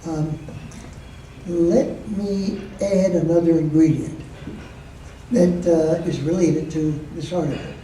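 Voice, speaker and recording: An older man from Michigan, speaking to a club audience as a guest speaker, slow and measured with frequent pauses and fillers. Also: fairly high pitch, steady tone, warm tone, a little hiss or hum. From Michigan, USA. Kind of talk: informal talk to a club audience. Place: meeting room. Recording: roomy echo, heard from a distance.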